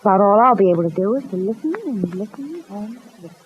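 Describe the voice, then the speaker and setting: A woman acts a deferential young woman in a vintage radio soap opera, earnest and a little anxious, hesitant as she explains herself. The voice sits at a middle pitch on a dull old recording with faint noise. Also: animated, fast, lightly acted. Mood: resigned. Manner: apologetic, pleading, hesitant.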